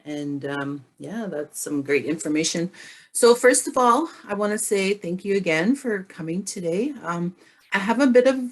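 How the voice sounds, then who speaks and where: A woman from the James Bay area of northern Canada giving a webinar talk over a video call, hoarse from laryngitis, speaking carefully with many pauses. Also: lowish voice, lively intonation. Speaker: guest presenter. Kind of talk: webinar presentation.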